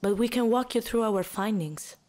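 An actress playing a composed, matter-of-fact doctor in an audio drama, explaining in a precise way. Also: female voice, fairly high pitch, moderate pace, darkish tone, close to an everyday voice. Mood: composed, explanatory. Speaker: doctor, genetics specialist.

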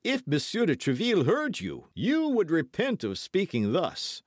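A man voicing a boastful, excitable musketeer with a pompous, exclamatory manner, in a storybook reading. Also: high-pitched, measured pace, dry recording, studio quality, voice pushed up, exaggerated voice. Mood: scolding. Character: loud, boastful, self-satisfied musketeer, excitable and blustering.

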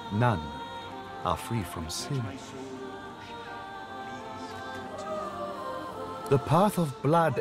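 An actor playing a grave, pious brother of a cathedral in a fantasy video game, speaking in a measured, formal, solemn manner. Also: male voice, medium pitch. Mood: grave warning.

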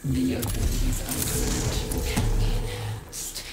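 A woman voicing a cool, knowing spirit guide in a video game, speaking in a measured, cryptic way. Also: loud music behind the voice, lightly acted. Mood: instructive, aloof. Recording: echoing, distant, under loud noise.

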